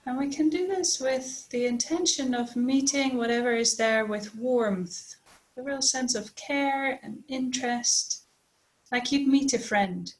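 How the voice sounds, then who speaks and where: A woman leading a guided body scan meditation as a teacher, speaking gently and unhurriedly with long pauses. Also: high-pitched, low-quality recording.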